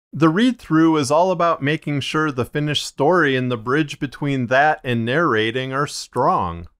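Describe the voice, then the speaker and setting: Male podcast narrator, mid-pitched, slightly muddied tone with limited range. Measured, plain-spoken explainer delivery. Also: fairly bright tone. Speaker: fiction podcast narrator and video host.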